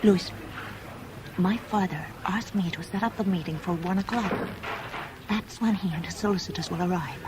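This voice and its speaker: An actress playing a composed, businesslike woman in a radio drama, speaking in a measured, precise and discreet way. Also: lowish voice, lightly acted. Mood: discreet, intent. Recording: noisy old radio drama recording.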